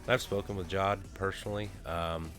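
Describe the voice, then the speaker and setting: A man's voice: low voice, fairly even intonation, fairly quick, fairly bright tone, faint music behind. A man, casually talking through a crypto project on a screen share with many ums and uhs. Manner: rambling, many fillers. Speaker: crypto vlogger.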